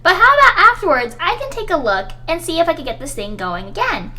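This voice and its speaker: A woman playing an eager, friendly student who loves cars in a radio drama, excitable, chatty and earnest. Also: very high-pitched, fairly quick, a little hiss or hum, exaggerated voice. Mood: excited, friendly. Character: eager, friendly female student, keen car enthusiast.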